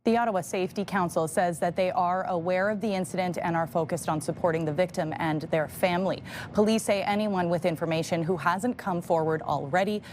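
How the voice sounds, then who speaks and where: A woman reporter speaks live from a news studio. Her voice is a bit low. A faint noise sits in the background. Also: brisk, a little mellow.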